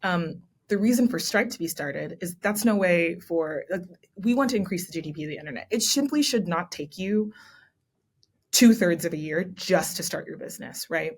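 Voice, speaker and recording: Articulate woman explaining with low tones. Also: animated, normal speed. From born in Nigeria, raised in Texas. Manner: articulate, explanatory, with frequent "um" and "right?" asides. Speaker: guest, commissioning editor. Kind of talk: conversational interview answers.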